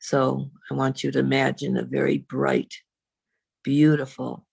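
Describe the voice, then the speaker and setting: A woman reverend leading a guided meditation in a slow, soothing, unhurried way, with long pauses. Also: monotone, a little mellow, dry recording. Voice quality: low, husky, creaky.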